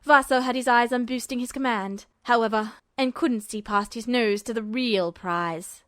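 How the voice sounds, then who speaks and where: A woman playing a calm, polite, calculating male army officer with quiet menace in a story reading. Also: fairly high pitch, normal speed, no echo, clean studio sound, natural voice. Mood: calm, detached.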